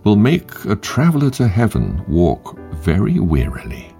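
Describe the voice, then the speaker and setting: Male host reading devotional quotes, slow and deliberate, expressive melody, faint music underneath. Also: on the low side, vocal fry. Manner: measured, emphatic reading with long pauses. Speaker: podcast host and devotional reader.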